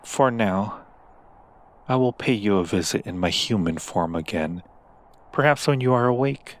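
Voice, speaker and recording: A man's voice: on the low side, animated. A man playing a seductive, coaxing demon in an ASMR roleplay, speaking with silky, formal persuasion to a mortal. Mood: seductive, hungry. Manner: slow, silky persuasion with formal, deliberate wording.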